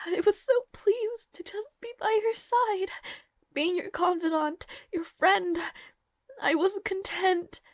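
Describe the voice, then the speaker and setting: A woman acts out a nervous young maid in a romantic audio drama, her voice extremely high with a creaky edge. She speaks haltingly and with raw emotion, humble and lovestruck. The sound is close and dry. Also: no room sound, heavily acted. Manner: halting, stammering, breathy pleading delivery.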